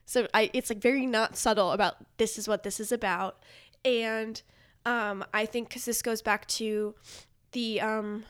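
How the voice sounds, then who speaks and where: A woman chatting casually about pop culture, retelling things in an animated way with quoted asides. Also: on the high side, a little slow, clear, resonant tone. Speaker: podcast guest.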